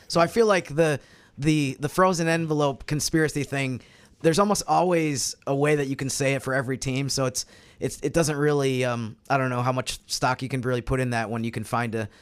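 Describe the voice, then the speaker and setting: Mid-pitched man explaining sports casually. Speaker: sports podcast panelist.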